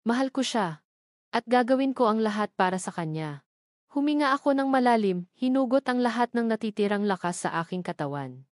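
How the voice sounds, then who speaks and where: A woman's voice: on the high side, lively intonation, a little fast, no room sound, clean studio sound. A woman reading a scripted, dramatic first-person love story as a narrator.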